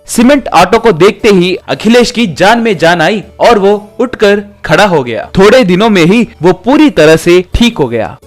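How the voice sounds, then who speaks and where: A man's voice: sits high, lively intonation, a little slow, hardly any pauses, soft background music. A man reading a scripted moral tale as a storytelling narrator.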